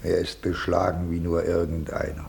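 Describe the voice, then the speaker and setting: An actor playing a calm, terse ship's helmsman in a hushed voice, in a 1950s German radio play. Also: moderate pace, gravelly. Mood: calm, firm. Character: calm gruff ship's helmsman, protective of a sleeper. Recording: faint noise, low rumble, old radio.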